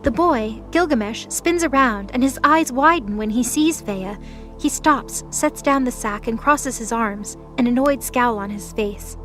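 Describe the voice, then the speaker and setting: A woman's voice: a little high, measured pace, a bit bright, soft background music. A woman reading scripted narration for an audio drama.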